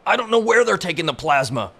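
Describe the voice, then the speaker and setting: Brief: a cowardly agent, high, gravelly, stammering excuses. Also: male voice, sing-song, quick pace, pushed and bright, heavily acted. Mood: nervous. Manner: nervous, pleading, stammering. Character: cowardly male agent under interrogation, nervous.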